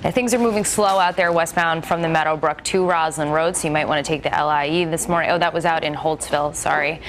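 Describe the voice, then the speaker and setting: A woman's voice: sits low, fairly even intonation, fast talker. A woman working as a traffic reporter, giving a chatty live TV report over audible background noise.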